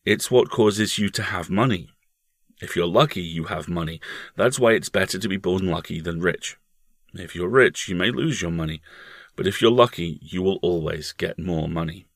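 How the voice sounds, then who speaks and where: A man voicing a bitter, evasive mother who gives measured, resigned answers in a storybook reading. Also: low-pitched, dry recording, studio quality. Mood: matter-of-fact, bitter.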